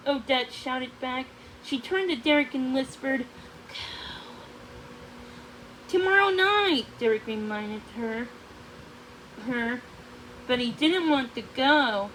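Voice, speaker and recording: A woman narrating a storybook aloud, haltingly, often stumbling and going back over phrases. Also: high-pitched, a bit bright, slight background noise, low-quality recording.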